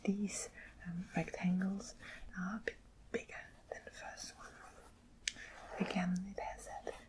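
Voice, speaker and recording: A woman speaking in a soft, breathy whisper, gently murmuring to a customer as a shop assistant in an ASMR roleplay. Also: lowish voice, little pitch movement, unhurried, poor audio. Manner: gentle, murmured, hesitant phrasing addressed to a customer. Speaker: ASMR host playing a quilt shop assistant.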